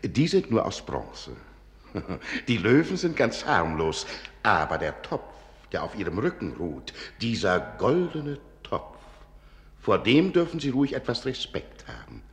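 An actor playing a courtly, eccentric older archivist and magician in a German fairy-tale radio play, ceremonious and slyly amused. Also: male voice, fairly low pitch, wildly swooping pitch, measured pace, reverberant, heavily acted. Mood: amused, reassuring.

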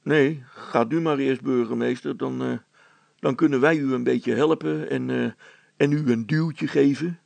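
A man's voice: mid-range voice, steady tone, measured pace, creaky, darkish tone, no room sound, voice pushed up. A man voicing a brusque, practical father in a children's story, blunt, emphatic and easily exasperated. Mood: firm.

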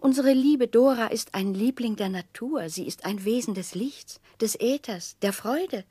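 An actress playing a solemn, sentimental young lady confidante in a 1960s German radio drama, speaking in a measured, high-flown and breathy way. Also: dark tone, dry recording. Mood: solemn, earnest.

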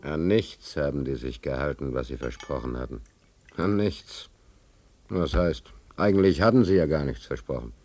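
An actor playing an aggrieved sailor in a German radio play, colloquial and quick to protest. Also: male voice, a bass voice, a little mellow. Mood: bitter, recounting. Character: aggrieved sailor tricked into shipping as stoker's trimmer.

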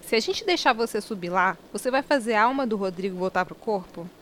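A woman's voice: medium pitch, animated, fast talker, a bit bright, slight background noise, natural voice. A woman playing a bossy, sarcastic, impatient character in an audio drama, with a Brazilian accent and slangy speech. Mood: stern.

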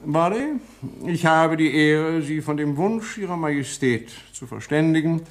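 An actor playing a pompous, commanding old British lord in a radio drama, full of military bluster. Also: male voice, on the high side, measured pace, natural voice. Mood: formal. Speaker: aristocrat.

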